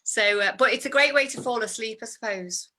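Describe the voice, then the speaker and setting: A woman's voice: fairly high pitch, fairly quick, bright, forceful tone. A woman hosting an improv scene, chatty and giggly with lots of fillers. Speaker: improv performer and host. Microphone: video call.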